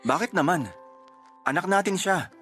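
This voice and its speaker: A man's voice: high-pitched, lively intonation, moderate pace, a bit bright, faint music behind. An actor playing a devoted young husband and protective father in a radio drama. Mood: gentle, puzzled.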